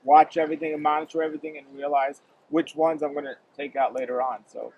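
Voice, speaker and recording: A male host interviews a grower outdoors in a yard, chatty and quick with follow-up questions. His voice sits a little above average pitch for a man, with faint background noise in the open air. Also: fairly even intonation, a little mellow, highs cut off. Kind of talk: casual interview.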